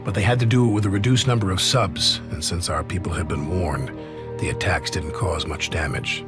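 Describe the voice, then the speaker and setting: A man playing a weary soldier who recalls past battles in a somber, measured scripted game narration. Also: low voice, over music, natural voice. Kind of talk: scripted war story narration.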